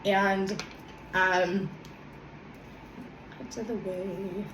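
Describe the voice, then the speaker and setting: A woman, a little hoarse from a cold, talking gently with many pauses and self-corrections, then reading her own journal. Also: medium pitch, level tone, roomy sound, noisy background. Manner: soft, hesitant, many ums and self-corrections. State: slightly hoarse from a summer cold. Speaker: vlogger.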